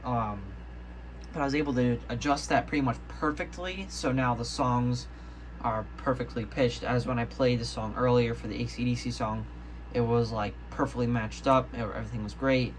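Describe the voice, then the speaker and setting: A man's voice: mid-range voice, fairly even intonation. Rambling man, noisy casual recording. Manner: rambling, halting, self-correcting. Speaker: hobbyist video maker. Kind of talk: casual show-and-tell vlog. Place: indoors, room with audio gear.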